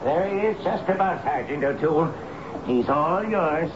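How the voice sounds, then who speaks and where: A man's voice: a little high, expressive, normal speed, natural voice. An actor playing a stern, threatening man who gives blunt commands in a radio drama. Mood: grim. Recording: muffled, noisy old radio broadcast.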